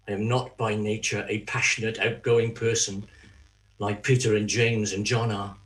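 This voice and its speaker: Remorseful betrayer, played by a man, mid-range voice, very measured pace. Anguished, halting confession for a church drama. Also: medium pitch, low-quality recording. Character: Judas, remorseful betrayer haunted by guilt, pleading. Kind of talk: dramatic monologue in a church reading.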